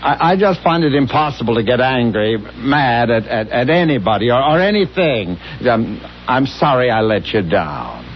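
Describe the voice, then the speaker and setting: A man's voice: medium pitch, animated, normal speed, hardly any pauses, a little hiss or hum, lightly acted. An actor playing a meek, naive, forgiving married man, stammering and flustered, in 1970s radio drama style. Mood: apologetic, hesitant. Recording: dull 1970s radio recording with music.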